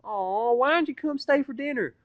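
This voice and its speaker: Storybook filly pony, acted by a man: young, girlish, eager and pleading, pitched up and strained. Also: expressive, moderate pace, no echo, highs cut off. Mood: pleading, insistent.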